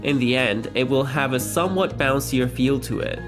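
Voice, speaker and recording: A man with a middle-pitched voice gives a calm, explanatory voice-over that translates an interview. Loud music plays underneath him the whole time. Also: normal speed.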